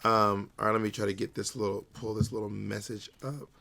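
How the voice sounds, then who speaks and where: Podcast co-host, male, sharp bright tone, casual and conversational with teasing sass. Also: fairly low pitch, flat delivery, brisk, creaky. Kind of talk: casual chat.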